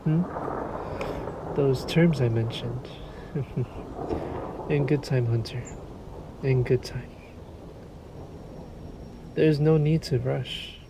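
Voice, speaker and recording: A man voicing a suave, predatory male vampire in an audio roleplay, with a courtly, teasing, drawn-out delivery. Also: medium pitch, creaky, noisy background. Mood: seductive, teasing.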